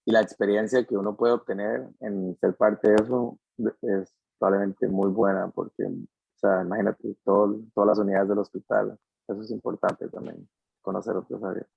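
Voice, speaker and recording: Young man, creaky husky voice, casual interview guest, muffled dry audio. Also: on the low side, little pitch movement, normal speed, no room sound. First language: Spanish. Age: young adult.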